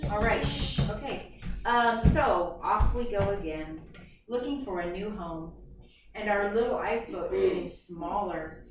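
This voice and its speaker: A woman teaching young children through an interactive read-aloud, expressive as she tells the story, often stopping to ask questions and redirect her listeners. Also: mid-range voice, measured pace, music in the background. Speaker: primary school teacher. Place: echoey classroom. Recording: very echoey room, distant mic, background noise.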